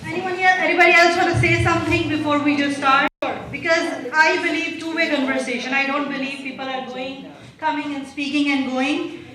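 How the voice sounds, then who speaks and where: A woman's voice: sits high, fairly even intonation, a little fast, a crowd in the background. A woman hosting a community gathering, chatty and inviting, with informal phrasing. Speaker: event host. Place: large indoor event room. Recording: echoey hall, mic at a distance.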